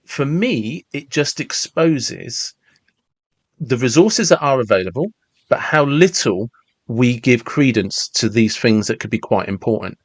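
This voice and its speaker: Male podcast host, forties, conversational and reflective, halting rephrasing, medium pitch, very dry close recording. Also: animated, husky, no echo. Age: 40s.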